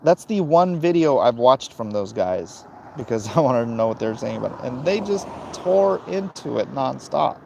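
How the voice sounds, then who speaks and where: A man with a gravelly voice hosting a casual, opinionated livestream, answering chat in a blunt, dismissive way and muttering between thoughts. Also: medium pitch, lively intonation, moderate pace, hardly any pauses, mellow, slight background noise. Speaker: livestream host reading and answering chat comments.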